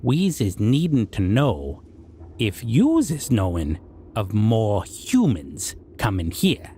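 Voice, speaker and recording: A man's voice: on the low side, wildly swooping pitch, dark tone, a little hiss or hum, full character voice. A man voicing a stern, civilized goblin king who speaks in odd broken grammar, like a menacing judge in a fantasy roleplay audio drama. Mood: coldly threatening. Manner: broken, unusual grammar with drawn-out questioning.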